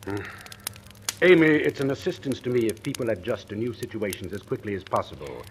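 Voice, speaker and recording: Casting: male actor as domineering, volatile master of the house, low voice, dramatic swings, vintage radio recording with noise. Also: animated, a little fast, lightly acted. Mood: harsh, sardonic. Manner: imperious, commanding, volatile. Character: domineering, sardonic writer, rumoured wife-killer, menacing. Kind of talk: 1940s radio drama acting.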